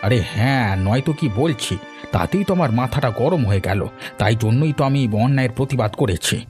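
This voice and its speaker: A man's voice: mid-range voice, expressive, a little fast, darkish tone, soft background music, close to an everyday voice. A man voicing a righteous, hot-headed older village man who scolds indignantly in a cartoon. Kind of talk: cartoon dialogue acting.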